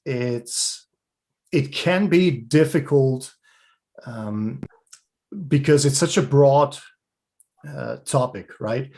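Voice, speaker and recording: A man from Slovenia presenting a webinar over a video call. His voice sits at a middle pitch, and he speaks in a relaxed, chatty way, with the odd joke at his own expense. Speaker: guest speaker.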